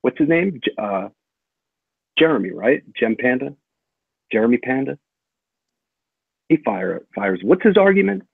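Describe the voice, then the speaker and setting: A man's voice: mid-range voice, very animated, measured pace, creaky, long pauses, slightly rough, dry recording. A male host chatting loosely on a livestream, rambling and joking. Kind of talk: casual livestream chat. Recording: tinny, dry phone line.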